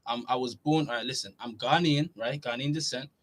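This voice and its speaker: A young man from Norway hosts a live question-and-answer stream, reading out viewer questions and answering in a loose, chatty manner. His voice sits at an ordinary middle pitch. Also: a little fast. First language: Norwegian. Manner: relaxed, chatty, reads questions aloud then answers. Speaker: fitness YouTuber answering live chat. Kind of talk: casual livestream Q&A.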